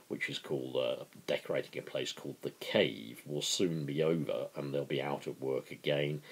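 A man's voice: extremely low pitch, gravelly, breathy edge. A man in his fifties informally introducing a dramatic book reading, talking to a camera in his living room. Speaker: amateur book reader. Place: small living room, indoors.

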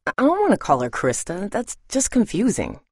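An actress playing a tough, weary woman surviving an apocalypse in a video game, husky and blunt, speaking in a serious, terse way. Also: medium pitch, wildly swooping pitch, normal speed, a little mellow, no echo, studio quality, exaggerated voice. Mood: annoyed, firm. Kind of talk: dramatic video game voice acting.

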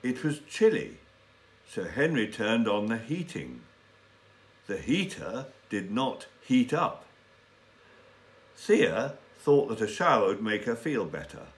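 Male storyteller, sixties. Slightly low voice, unhurried, careful diction for language learners. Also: sing-song. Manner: careful, deliberate enunciation. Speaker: story reader. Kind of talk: scripted story narration for English learners. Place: small indoor room with bookshelves and window.

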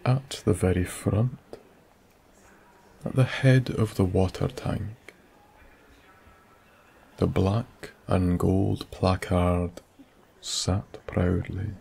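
A man with a Scottish accent narrating a soft-spoken bedtime story in a slow, hushed, soothing way that drifts into whispers. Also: deep voice, steady tone, vocal fry, husky.

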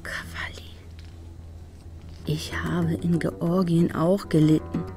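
An actress playing a wounded, pleading woman detective in a crime radio drama, narrating and speaking in a measured, hushed way. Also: lowish voice, little pitch movement, vocal fry, whispered, soft background music. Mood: pained confession.

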